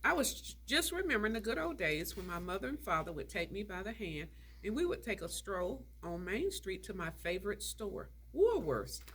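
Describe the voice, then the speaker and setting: A woman's voice: medium pitch, very animated, normal speed, fairly bright tone, slight background noise. A woman reading the part of a haughty, tart, nostalgic older society lady in a stage play. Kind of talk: stage play table read.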